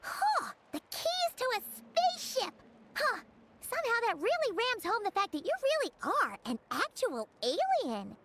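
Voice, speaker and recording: A woman voicing a small, excitable, childlike fairy companion in an anime game, squeaky and chatty. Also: moderate pace, background noise, heavily acted. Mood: excited. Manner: chatty, exclaiming, refers to self in third person. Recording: music behind the voice.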